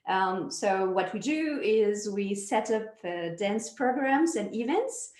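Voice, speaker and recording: Slow French-accented woman, echoey room. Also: medium pitch, expressive, a little mellow. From France. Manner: unhurried, many fillers and restarts. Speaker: guest, nonprofit founder. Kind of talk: casual interview chat. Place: home in Zurich.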